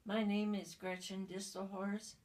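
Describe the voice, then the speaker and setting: A woman in her seventies answering interview questions hesitantly, with halting restarts. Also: medium pitch, little pitch movement, a little mellow. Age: 70s. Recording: distant mic.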